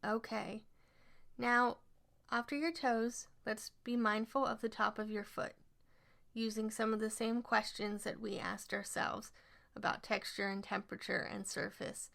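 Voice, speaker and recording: A woman leading a scripted guided relaxation exercise as an instructor, speaking in measured, instructional phrases. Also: medium pitch, vocal fry, a bit bright.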